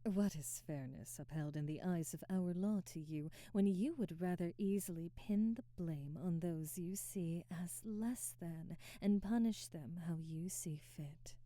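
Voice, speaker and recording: Female vampire aristocrat, acted by a woman, very dark mellow tone, slow and imperious, formal diction, ASMR roleplay. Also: fairly low pitch, dry recording, lightly acted. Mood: cold, scornful. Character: haughty aristocratic female vampire, commanding, coldly indignant. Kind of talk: scripted ASMR audio roleplay.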